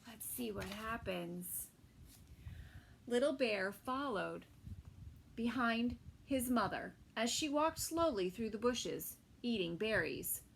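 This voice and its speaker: A woman teacher reading a picture book aloud to small children, gentle and unhurried, with soft whispered asides. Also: fairly high pitch, animated, creaky, a little hiss or hum, rough recording. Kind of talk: children's story time read-aloud.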